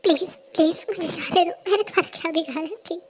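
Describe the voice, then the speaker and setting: Young woman as a scared young woman, pleading, rushed, very high voice.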